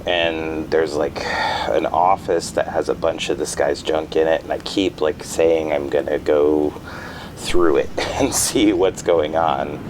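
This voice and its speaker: A man playing an uneasy man who lives alone, hesitant and halting, in a naturalistic audio drama phone conversation. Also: a bass voice, steady tone, noisy background. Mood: hesitant, bemused. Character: uneasy man living alone in a creepy house. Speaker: caller.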